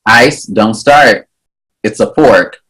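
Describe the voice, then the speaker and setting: A man playing a cocky, wisecracking hero with a casual, deadpan manner in a screenplay table read. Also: lowish voice, slow pace, slight background noise, rough recording. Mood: incredulous.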